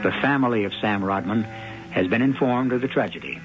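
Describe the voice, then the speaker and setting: A man narrating a radio mystery in a grave, measured voice of ordinary pitch. The broadcast is dull and muffled, with music clearly under him. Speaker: narrator host.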